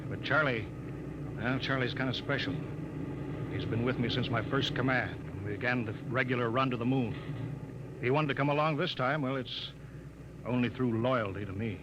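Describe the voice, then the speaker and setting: An actor playing a commanding, world-weary male spaceship captain in a 1950s radio drama, brisk and authoritative in manner. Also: medium pitch, natural voice. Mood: frank, assured. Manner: brisk, authoritative, clipped orders. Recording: noisy, dull vintage 1950 radio recording.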